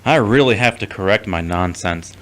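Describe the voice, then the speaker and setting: Man playing a low-voiced, guarded, dry man. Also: expressive, moderate pace, a bit bright. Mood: rueful. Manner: terse, dry, blunt. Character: guarded, skeptical man of faith, dryly blunt. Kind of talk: audio drama acting.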